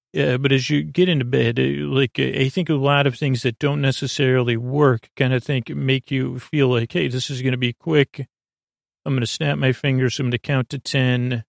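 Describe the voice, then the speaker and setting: A man with a creaky voice hosting a sleep podcast, meandering haltingly through a goofy, self-deprecating bedtime monologue. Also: mid-range voice, no room sound, studio quality. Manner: meandering, halting, trails off and repeats himself. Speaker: podcast host. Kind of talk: rambling bedtime monologue for falling asleep.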